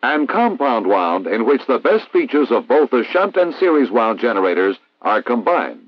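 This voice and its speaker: An American man reading a scripted instructional narration in a formal, measured, didactic way, as in a 1960s military training film. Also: medium pitch, big pitch swings, dry recording. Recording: dull vintage 1960s film soundtrack.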